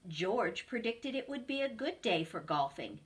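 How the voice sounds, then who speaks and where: Preschool teacher, woman, reads a picture book aloud to kids, high and animated. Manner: slow, expressive storybook reading.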